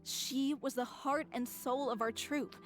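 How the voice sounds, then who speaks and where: A woman's voice: sits high, fairly even intonation, a little slow, soft background music. A woman voicing an earnest, heartfelt actress from a theater troupe in a game.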